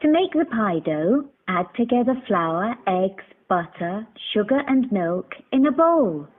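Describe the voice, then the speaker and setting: A woman voicing a gentle, tender mother in a children's storybook cartoon. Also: mid-range voice, big pitch swings, measured pace, phone-quality sound. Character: gentle mother and frail old grandmother.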